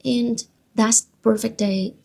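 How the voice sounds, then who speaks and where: A woman's voice: fairly high pitch, steady tone, slow, vocal fry. A young woman in her late twenties with a Vietnamese accent, a native Vietnamese speaker, talking to camera in a casual vlog, earnest and a little nervous, with frequent "you know" fillers. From central Vietnam. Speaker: vlogger. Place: at her desk at home. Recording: soft music behind the voice.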